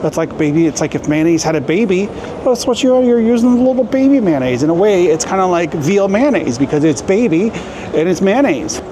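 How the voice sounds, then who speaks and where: A man's voice: high-pitched, big pitch swings, fairly quick. A man chatting casually as a travel vlogger in a joking, playful way, filming outdoors and in shops on a handheld camera. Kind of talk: casual vlog narration. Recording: outdoor street noise.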